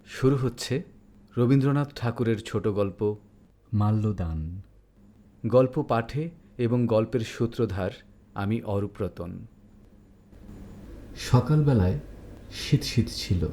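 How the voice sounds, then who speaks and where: A man's voice: fairly low pitch, takes it slowly, pauses often, warm tone. A man narrating a literary short story as a scripted audiobook reading. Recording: roomy echo on the voice.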